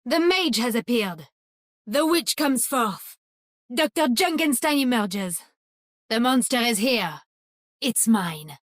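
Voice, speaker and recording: A woman's voice: fairly high pitch, lively intonation, takes it slowly, no room sound, studio quality. A woman playing a cold, stern hunter defending a gate in a video game, dramatic and commanding. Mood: ominous.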